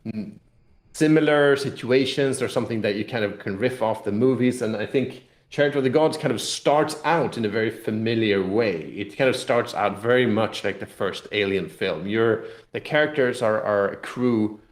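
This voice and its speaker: Male game publisher guest, low voice, rambling explanatory chat, roomy echoing sound. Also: moderate pace. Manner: rambling, explanatory, hedging with "kind of". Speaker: game publisher representative being interviewed. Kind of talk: casual interview chat about a tabletop game.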